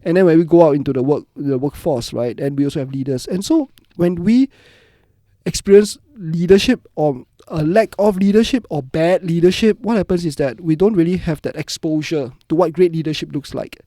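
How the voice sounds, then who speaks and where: A man's voice: a little high, fairly quick, warm tone, no echo. A man with a Singaporean accent hosting a podcast in a reflective, conversational interview style. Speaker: podcast host.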